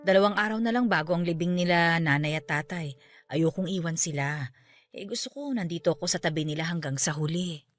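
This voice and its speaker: A woman's voice: lowish voice, fairly quick, lightly acted. A woman acting a caring, grieving middle-aged aunt in a Tagalog radio drama, in natural conversational dialogue. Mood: weary, tender. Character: grieving aunt who just lost her parents, caring, steadfast. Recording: music bed under the voice. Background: background music.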